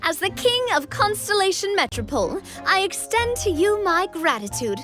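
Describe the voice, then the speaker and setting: A woman's voice: very high-pitched, big pitch swings, moderate pace, bright, forceful tone, faint music behind, heavily acted. A woman voicing a cheerful, friendly young storybook king in a game. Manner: upbeat, friendly, reassuring. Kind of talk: game voice acting.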